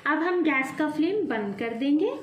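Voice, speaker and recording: A woman hosting a home cooking video, calmly talking viewers through each step and calling them friends. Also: sits high, normal speed, roomy sound, slight background noise. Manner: addresses viewers as friends, calm explaining. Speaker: cooking channel host. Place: home kitchen at the stove.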